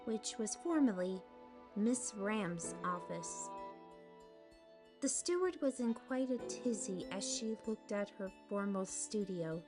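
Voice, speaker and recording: A woman narrating a fantasy audio drama in a storybook manner, now and then stopping to puzzle over names. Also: fairly high pitch.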